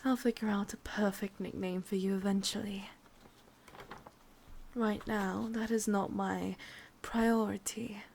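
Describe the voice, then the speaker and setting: A slow, breathy, mostly whispered English witch, played by a woman, sadistic and vain, speaks with possessive, menacing intimacy. It is an ASMR roleplay with faint noise behind the voice. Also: mid-range voice, steady tone, a little mellow. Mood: possessive, wistful.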